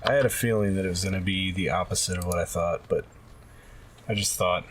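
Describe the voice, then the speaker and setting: A man's voice: deep voice, steady tone, quick pace, noisy background. A man co-hosting a podcast, talking in a casual, conversational way. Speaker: podcast co-host. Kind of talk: casual podcast chat about retro games.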